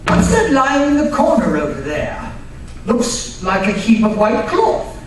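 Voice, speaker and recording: A woman's voice: mid-range voice, wildly swooping pitch, measured pace. A woman playing a deferential, squeamish male archaeologist's helper in an old-time radio drama. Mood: curious, wary. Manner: deferential, plain-spoken. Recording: very echoey hall, distant mic, faint noise.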